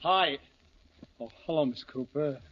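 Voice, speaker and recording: Actor as dim, gushing lovesick butcher, high male comedy voice; very noisy vintage radio recording. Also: a little slow, highs cut off, lightly acted. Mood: shy, friendly. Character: bashful-sweet, lovesick neighborhood butcher, dim and earnest. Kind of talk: 1940s-50s radio sitcom acting.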